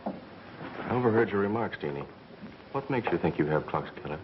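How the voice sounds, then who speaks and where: A man's voice: deep voice, animated, moderate pace. An actor playing a sly man passing on tips, in 1930s film style. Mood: sly, casual. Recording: dull vintage film soundtrack, faint noise.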